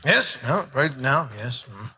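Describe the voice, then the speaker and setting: A man playing a fussy, desperate alien ruler in a human body, with a raspy voice, in a science fiction TV show. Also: mid-range voice, big pitch swings, unhurried, bright, forceful tone, exaggerated voice. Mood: flustered, urgent.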